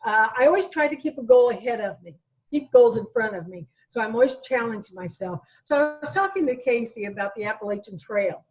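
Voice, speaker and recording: A woman's voice: on the high side, expressive, a little fast, low-quality recording. An American woman in her sixties talking conversationally as an interview guest, telling her own story. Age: 60s.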